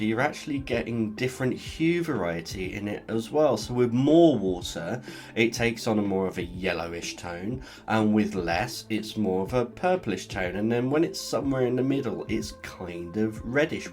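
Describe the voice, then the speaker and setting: A man with a stuffy cold, chatting casually as he talks through a painting. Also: on the low side. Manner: relaxed, chatty, explains as he paints. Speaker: art channel host. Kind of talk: casual art tutorial narration.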